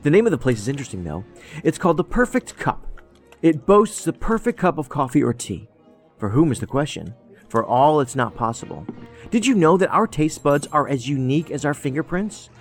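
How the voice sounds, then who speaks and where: A man's voice: fairly high pitch, big pitch swings, brisk, faint music behind. Rambling, quirky male agent. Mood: musing, chatty. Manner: rambling, pedantic, matter-of-fact. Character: quirky, rambling, tactless male federal agent, overanalytical oddball. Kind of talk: audio drama acting.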